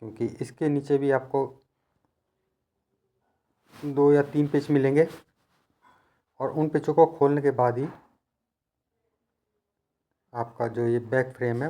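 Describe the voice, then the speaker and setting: A man talks through practical repair work in a conversational tutorial style. His voice sits at a medium pitch. He explains each step patiently, like a working technician teaching his trade. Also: dark tone. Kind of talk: hands-on instructional narration, conversational.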